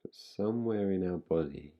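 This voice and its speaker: A man guiding a relaxation meditation in an unhurried, soothing way, giving gentle instructions with long pauses. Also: deep voice, lo-fi sound. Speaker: meditation guide. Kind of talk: guided relaxation meditation, yoga nidra.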